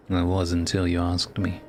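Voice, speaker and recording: A man streams a story-driven game, reading its dialogue aloud and commenting casually. His pitch is on the low side. Music plays clearly behind him. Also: darkish tone.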